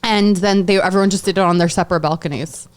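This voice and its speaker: A woman's voice: fairly low pitch, steady tone, fast. A young woman from Tajikistan, whose first language is Dari, chatting as a podcast guest and telling stories frankly, packed with "like" fillers. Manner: rambling, full of "like" fillers, frank storytelling. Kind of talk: casual chat.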